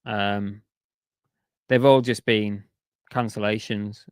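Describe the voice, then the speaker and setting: A man's voice: on the low side, fairly even intonation, slow, clean tone, no echo, clean studio sound. A man chatting casually on a live stream, reading out viewer comments and grumbling about sales while losing his voice. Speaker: reseller streamer reading chat.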